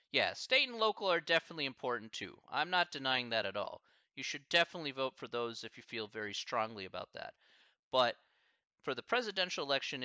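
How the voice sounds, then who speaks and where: Male math instructor, Midwestern accent, casual rambling Q&A, bright animated delivery, dry lo-fi mic. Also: mid-range voice, smooth, no room sound. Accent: Midwestern American. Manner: rambling, filler-heavy, thinking aloud. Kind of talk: casual Q&A with online class.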